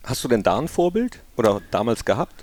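A man's voice: medium pitch, sing-song, fairly quick, a little mellow, no echo. A man hosting a podcast, asking a guest casual, curious questions with a few fillers. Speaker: podcast host.

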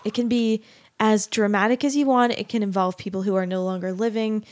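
A woman in her thirties hosting an interview, playful and quick to laugh. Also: mid-range voice, steady tone, a little mellow, dry recording. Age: 30s. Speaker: podcast host. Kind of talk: casual interview chat.

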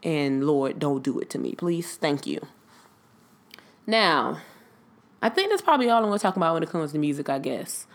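A woman's voice: deep voice, big pitch swings, moderate pace, pauses often. A woman hosting her own podcast, talking casually and bluntly to her listeners, her voice congested and nasal from a cold. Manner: candid, blunt, swears freely, addresses listeners as y'all. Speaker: podcast host. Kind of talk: casual solo podcast monologue.

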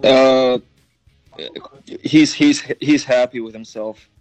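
A man with a raspy voice from getting sick, rambling with many fillers as a quiz contestant. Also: medium pitch, fairly even intonation, slow pace, no room sound, faint music behind, low-quality recording. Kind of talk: casual chat.

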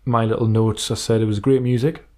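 Male podcast co-host, low and soft-edged voice, relaxed informal delivery, comfortable moving between reading aloud and chat. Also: brisk. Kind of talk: casual chat about retro racing games, reading out listener emails.

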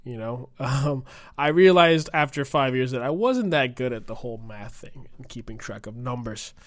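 A young man with a cold talks through a casual solo podcast, his voice a little croaky. He rambles along at an ordinary pitch, chatty and full of fillers. The sound is dry and close, with no room around it. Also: expressive, normal speed, no echo. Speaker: podcast host and streamer.

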